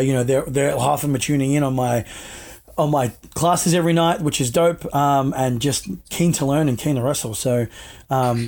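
A man's voice: mid-range voice, a little mellow. A man chatting casually as a guest, laid-back and rambling, with frequent fillers and restarts. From Australia. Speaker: guest, wrestler. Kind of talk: casual video-call chat.

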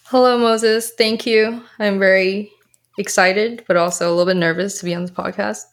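A woman's voice: medium pitch, fairly even intonation, moderate pace. A young woman from Canada, a guest on a podcast, chatting casually and laughing often. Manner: bubbly, laughs often, lots of "like" and "um". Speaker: podcast guest, health coach. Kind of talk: casual interview chat.

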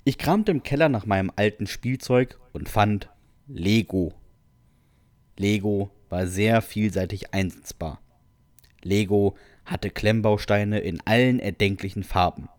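A man's voice: on the low side, a little slow, darkish tone, no echo. A man co-hosting a podcast, reading out listener stories in an amused, informal way. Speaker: podcast co-host.

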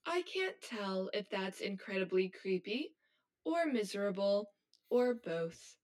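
A woman playing a cold, blunt high-achieving schoolgirl who talks in a stiff, formal, robotic way in an audio drama. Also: very animated, slow pace, darkish tone. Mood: cruel, mocking. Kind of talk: scripted audio drama acting.

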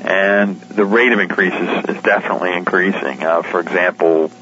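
A man's voice: low voice, fairly even intonation, normal speed, pushed and bright, slight background noise. A man being interviewed on radio as a publisher, explaining things conversationally with informal asides. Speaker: publisher and editor of a shortwave radio guide, interviewee. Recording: dull, lo-fi radio interview line.

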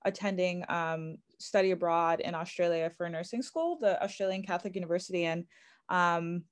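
A woman hosting a podcast, upbeat and filling her sentences with ums. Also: mid-range voice. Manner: enthusiastic, lots of fillers. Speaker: podcast host. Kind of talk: casual podcast interview.

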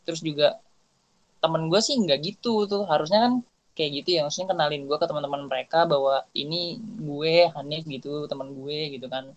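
A man's voice: a little high, brisk, dull audio. A young man with a Jakarta Indonesian accent, chatting casually and slangily as a podcast co-host. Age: young adult.

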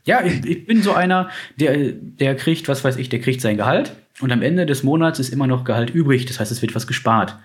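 A chatty, easygoing man talking about money and saving in relaxed, everyday speech. He sounds enthusiastic and keeps the conversation flowing with lots of fillers. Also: medium pitch, brisk, few pauses. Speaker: finance advisor, interviewee. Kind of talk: casual conversation.

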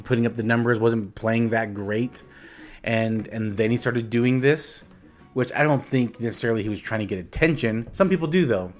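A man co-hosting a casual podcast, thinking aloud with many restarts and a reflective manner. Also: medium pitch. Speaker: podcast co-host.

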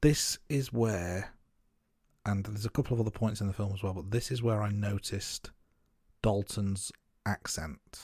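A man co-hosting a podcast, recapping film scenes in a steady, casual way with some fillers. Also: on the low side, measured pace, husky, no room sound, studio quality. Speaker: podcast co-host. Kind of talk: casual film review chat.

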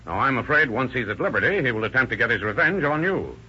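A man's voice: mid-range voice, sing-song, bright, forceful tone, breathy edge, exaggerated voice. A man acting a shrewd, authoritative county sheriff in an old radio drama, with the dull sound of an old radio recording. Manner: measured, authoritative.

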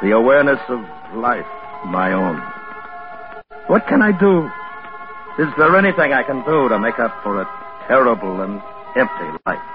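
A man's voice: medium pitch, close to an everyday voice. An actor playing a remorseful, earnest spaceman facing death in a 1950s radio drama. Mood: anguished remorse. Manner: brooding, confessional, earnest. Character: remorseful doomed spaceman drifting toward death. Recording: muffled 1950s radio recording.

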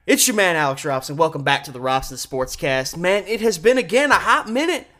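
Young man with a higher-pitched voice, hosting sports talk. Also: expressive, brisk, fairly bright tone. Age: 20s. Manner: emphatic, informal, slangy delivery. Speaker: sportscast host on campus radio.

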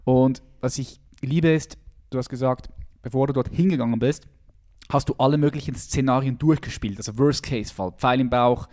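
A man's voice: mid-range voice, moderate pace, darkish tone. Enthusiastic male interview host. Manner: enthusiastic, frequent affirmations, mixes in English phrases. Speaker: podcast host. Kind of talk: interview conversation.

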